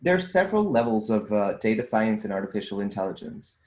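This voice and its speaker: Portuguese guy, fairly low voice, thinks out loud with lots of ums, roomy echo. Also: very animated, fast. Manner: thoughtful, with frequent ums and restarts. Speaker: guest, data scientist and entrepreneur. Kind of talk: casual interview answers.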